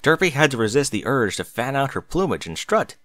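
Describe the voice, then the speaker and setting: A man reading a story aloud as an expressive narrator. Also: mid-range voice, a little fast, heavy background noise.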